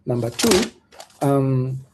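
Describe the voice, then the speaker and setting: A man speaking as a panelist in a discussion, making his points in a measured, reflective way with rhetorical questions. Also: mid-range voice, expressive, noisy background.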